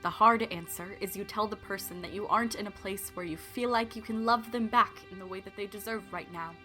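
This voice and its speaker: A woman's voice: medium pitch, fairly quick, pushed and bright, faint music behind, close to an everyday voice. A young woman playing an emotionally invested, digressive narrator of a romance in an audio drama. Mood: reflective.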